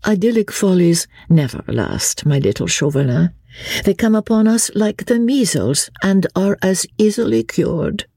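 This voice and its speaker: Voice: medium pitch, big pitch swings, measured pace, dark tone, breathy edge, no room sound, clean studio sound, voice pushed up, exaggerated voice. A narrator voicing a witty, playful young French aristocratic woman, bored and teasing, in an audiobook. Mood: wistful, flippant. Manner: playful, sighing, teasing, with light laughs.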